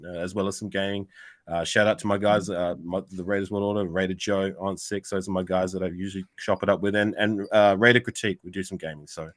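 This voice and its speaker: A man's voice: sits low, fairly bright tone, dry recording. An Australian man chatting casually as a guest on a fan podcast, rambling with lots of restarts. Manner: rambling, full of restarts and fillers. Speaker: guest content creator.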